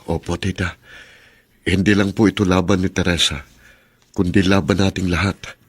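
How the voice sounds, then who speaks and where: Male actor as respectful Filipino relative, deep, slightly rough voice, earnest, polite, melodrama. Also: flat delivery, moderate pace. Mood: earnest, encouraging. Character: earnest, respectful younger relative rallying the family.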